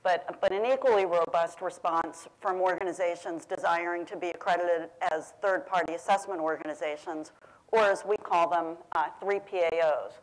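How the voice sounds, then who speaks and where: Woman panelist, lowish voice, loud and steady, speaking into a panel mic in a big room. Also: normal speed, low-quality recording. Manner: says she has a loud voice. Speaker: government program official on a panel. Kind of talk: prepared panel remarks. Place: large conference room. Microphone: panel microphone.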